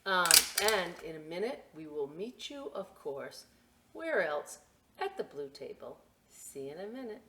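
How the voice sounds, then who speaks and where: A woman hosting a children's story time and craft demonstration, chatting to young listeners in a playful, expressive, informal way with asides. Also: fairly low pitch, measured pace. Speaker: library story time host reading picture books and showing a craft.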